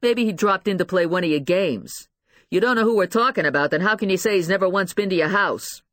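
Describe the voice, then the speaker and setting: A woman's voice: a bass voice, sing-song, normal speed, dry recording, clean studio sound. A woman voicing an aggressive, tough male police investigator in an audiobook, blunt, slangy and goading. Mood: sarcastic, aggressive. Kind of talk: dramatised audiobook dialogue.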